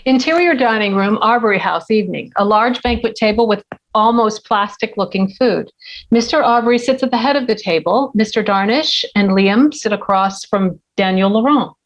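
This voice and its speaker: Brief: female narrator, medium pitch, reading screenplay action and scene headings. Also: normal speed, highs cut off. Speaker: stage-direction reader. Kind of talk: scripted narration at a table read.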